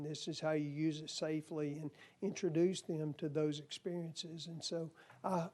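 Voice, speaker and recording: A man's voice: fairly high pitch, mellow. A man testifying at a legislative hearing, earnest and folksy, and he is losing his voice. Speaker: commission nominee.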